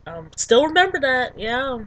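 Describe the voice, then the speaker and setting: A woman's voice: high-pitched, very animated, moderate pace, fairly bright tone. Rambling young woman vlogger. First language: English. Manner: rambling, full of ums and likes. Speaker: language vlogger. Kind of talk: casual talk to camera.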